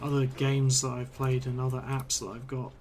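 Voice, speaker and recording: A man's voice: mid-range voice, completely flat delivery, moderate pace. Man rambling hesitantly while gaming. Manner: hesitant, filler-heavy, trails off mid-sentence. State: voice a bit strained from long talking. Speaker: game streamer. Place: home room at a computer.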